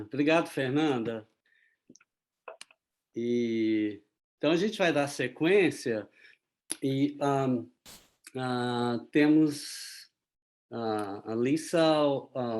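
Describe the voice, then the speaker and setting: Guy hosting an online panel, really slow and hesitant, casual, medium pitch. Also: a little mellow. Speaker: webinar moderator. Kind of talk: informal moderating.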